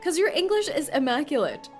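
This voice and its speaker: Playful high-voiced woman streamer. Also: big pitch swings, moderate pace, faint music behind. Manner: playful, giggly, reacts to game dialogue. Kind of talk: casual gameplay commentary.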